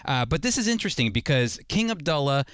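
A man with a slightly high voice speaks as a radio host and Bible teacher. He talks conversationally but earnestly, leaning on key phrases and repeating them for emphasis. The recording is dry and close. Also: very animated, fast, fairly bright tone, clean tone, no room sound.

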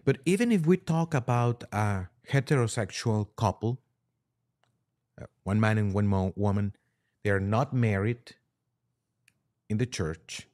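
A man speaking as a priest and podcast guest, giving careful, somewhat halting explanations in conversation. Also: on the low side, darkish tone. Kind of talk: conversational interview answers.

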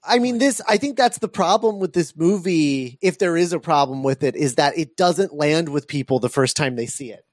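Earnest man, close dry sound, slightly high voice. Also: normal speed, hardly any pauses, dry recording. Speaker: podcast host. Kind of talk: casual film discussion.

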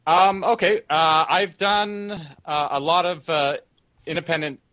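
A man's voice: on the high side, fairly even intonation, a little slow, sharp tone. A man chatting as a guest producer on a casual panel, hesitant and full of fillers. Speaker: podcast guest, audio drama producer.

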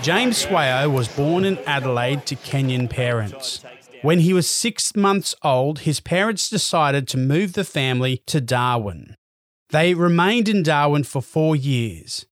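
A man reading calm, measured scripted narration for a documentary feature. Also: fairly high pitch, no room sound.

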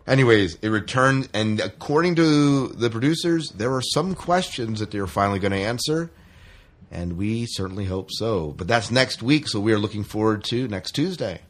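A man's voice: fairly low pitch, lively intonation, normal speed. A man co-hosting a podcast, talking casually through entertainment news in a chatty, opinionated way. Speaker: podcast co-host.

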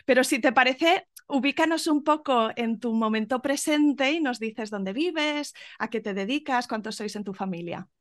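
A woman hosting an interview podcast, asking curious, gentle questions. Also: fairly high pitch, moderate pace, hardly any pauses. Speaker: podcast host.

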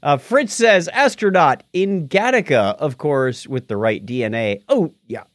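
Lively guy podcast host, slightly high voice, chatty, dry close sound. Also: measured pace, no room sound. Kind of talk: casual banter, reading listener feedback.

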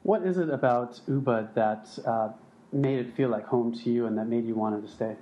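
Host: man, mid pitch, slightly muffled audio, friendly and curious interviewer. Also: normal speed, dark tone, a little hiss or hum. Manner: friendly, asks questions, laughs easily. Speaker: podcast host. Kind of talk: casual interview hosting.

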